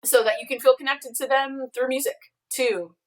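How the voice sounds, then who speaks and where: A woman's voice: high voice, fairly even intonation, moderate pace. A woman chatting casually as a podcast guest in a video call interview.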